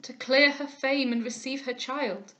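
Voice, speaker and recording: A woman's voice: high-pitched, steady tone, measured pace, bright, forceful tone, muffled recording. A woman reading aloud, voicing a remorseful aristocratic father with impassioned, declamatory outbursts. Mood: anguished. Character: remorseful aristocratic father, older man, anguished.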